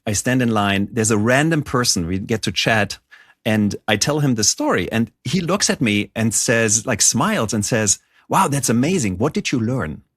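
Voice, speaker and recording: A middle-aged man from Germany with a German accent, telling stories as an interview guest in a lively, rambling way. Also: medium pitch, moderate pace, husky, no room sound, studio quality. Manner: animated storyteller, frequent "like" and restarts. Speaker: guest author. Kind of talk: conversational interview.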